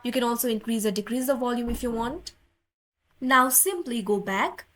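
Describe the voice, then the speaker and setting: A woman's voice: on the high side, normal speed, fairly bright tone. A woman narrating a scripted step-by-step app tutorial as its host, in an instructional manner with repeated set phrases.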